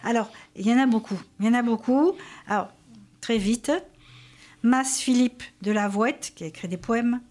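A woman presenting a radio segment, working through a list of writers in a measured way with frequent hesitations. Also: fairly high pitch, darkish tone. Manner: measured, listing names with hesitations.

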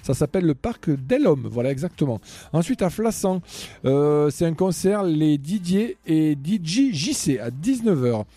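A man's voice: fairly high pitch, sing-song, a little slow, warm tone, no room sound. A man co-hosting a local afternoon radio show, chatting casually and reading out weekend event listings with plenty of fillers. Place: radio studio.

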